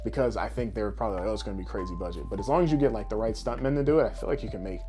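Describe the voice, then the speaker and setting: A man co-hosting a casual podcast, rambling through his ideas in an animated way and swearing freely. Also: on the low side, racing, over music. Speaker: podcast co-host. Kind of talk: casual podcast chat about games and films.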